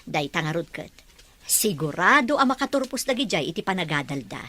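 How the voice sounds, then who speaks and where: A woman's voice: on the low side, big pitch swings, brisk, sharp tone, heavily acted. A woman playing a caring middle-aged aunt and mother in an Ilocano radio drama. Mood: concerned.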